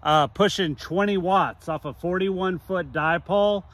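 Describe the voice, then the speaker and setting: A man's voice: sits high, a little slow, fairly bright tone. A man in his forties with an American accent, talking casually as a hobbyist radio operator. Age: 40s. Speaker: novice amateur radio operator, vlogger. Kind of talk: casual chat.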